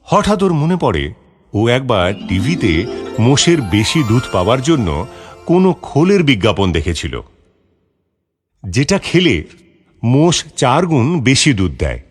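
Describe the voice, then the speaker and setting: A man's voice: mid-range voice, very animated, a little slow, long pauses, breathy edge. A man narrating a scripted moral story for children. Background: background music.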